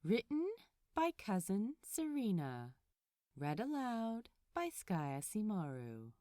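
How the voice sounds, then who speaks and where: A woman reading a story aloud in an expressive, deliberate storytelling style. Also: medium pitch, no room sound, clean studio sound. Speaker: podfic narrator. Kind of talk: scripted storybook narration, read aloud.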